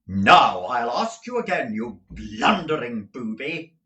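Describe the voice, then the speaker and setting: A man voicing a stern, blustering middle-aged male lawyer who talks in a booming, domineering way. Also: very animated, takes it slowly, fairly bright tone, muffled recording. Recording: roomy audio from a distant mic.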